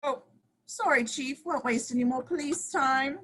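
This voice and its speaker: A woman's voice: high voice, normal speed, fairly bright tone. A woman voicing a sarcastic, teasing male village detective at a video call table read. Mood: mocking.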